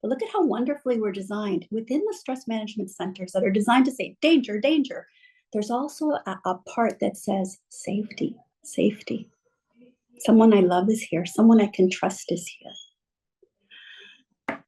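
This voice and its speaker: A woman giving a talk by video call, slowly guiding listeners through a calm, soothing reflection. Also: mid-range voice, husky, rough recording. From mother from Mexico, bilingual. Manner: gentle, slow, soothing guidance. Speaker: guest speaker.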